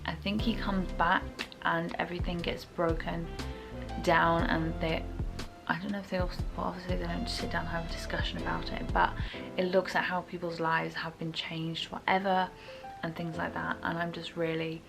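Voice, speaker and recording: A woman's voice: fairly low pitch, little pitch movement, moderate pace, gravelly, music in the background. A young woman chatting informally to viewers about books, often qualifying what she says, in a casual, chatty way. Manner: chatty, informal, hedging her opinions. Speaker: book reviewer.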